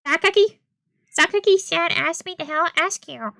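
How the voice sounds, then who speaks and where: A woman's voice: very high voice, normal speed, vocal fry, pushed and bright, no echo, clean studio sound, pitched above the performer's own voice, exaggerated voice. A woman voicing a polite, eager young man in a visual novel read-aloud. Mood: flustered, apologetic. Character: polite, unruffled young male caller, apologetic messenger. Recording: music behind the voice.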